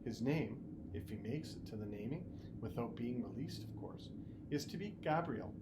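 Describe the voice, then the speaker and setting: A man voicing a gentle, caring father who confides mild guilt in an expressive, halting storybook reading. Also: medium pitch, natural voice. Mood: fond. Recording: noticeable background noise.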